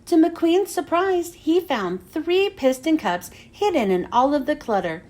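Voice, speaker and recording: A woman reads a children's story aloud. Her voice is high and swings up and down a lot, with dramatic emphasis on the storytelling. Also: big pitch swings, unhurried, darkish tone. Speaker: children's book reader.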